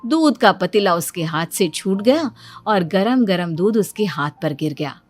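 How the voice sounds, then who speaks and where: A woman's voice: medium pitch, lively intonation, a little slow. A woman giving scripted narration for a cartoon family story as its storyteller. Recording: music bed behind the voice.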